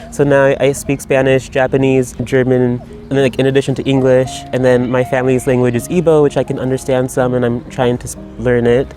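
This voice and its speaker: A man's voice: mid-range voice, warm tone. A man speaking in a personal video monologue, rambling and reflective, with many fillers and restarts. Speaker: writer. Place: Berlin.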